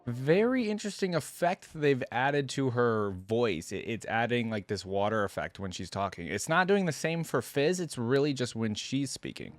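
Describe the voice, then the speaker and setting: A man's voice: mid-range voice, lively intonation, normal speed, few pauses, no room sound, faint music behind. A man doing casual reaction commentary, thinking aloud in laid-back, slangy language. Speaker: reaction video creator and commentator.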